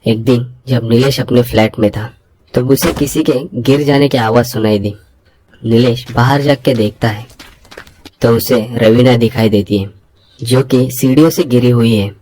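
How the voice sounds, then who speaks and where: A man's voice: medium pitch, measured pace, a little hiss or hum. A man narrating a scripted bedtime story with dramatic storytelling and voicing the hero's lines. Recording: loud music bed under narration.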